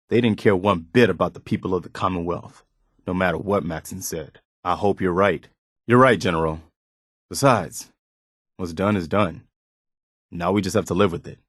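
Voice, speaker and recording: A man playing an earnest, idealistic, loyal militia soldier in a video game, speaking in a sincere, plain-spoken, measured way. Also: sits low, dry recording, clean studio sound. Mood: serious, resolved. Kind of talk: video game companion dialogue.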